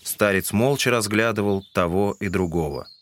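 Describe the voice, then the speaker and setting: A man's voice: fairly low pitch, moderate pace, slight background noise. A man reading scripted literary narration as the narrator of an audio drama.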